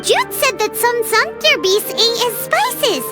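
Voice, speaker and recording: A woman's voice: big pitch swings, slow pace, heavily acted. A woman voicing a tiny, chatty, food-loving fairy companion in a video game who speaks of herself in the third person. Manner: high, bouncy, talks about herself in third person. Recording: clean studio voice over game music.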